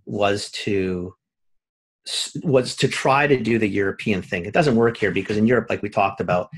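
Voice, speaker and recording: Blunt, husky-voiced man. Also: on the low side, normal speed. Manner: rambling and blunt, with casual swearing. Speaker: podcast co-host. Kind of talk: casual chat over a video call.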